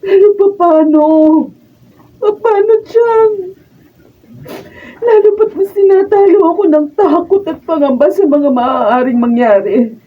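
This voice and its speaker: An actress playing an anxious young wife in a Filipino radio drama, hesitant, emotional and pleading. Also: female voice, very high-pitched, slow pace, recorded from a distance. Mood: tearful, despairing. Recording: thin phone-like sound, off-mic.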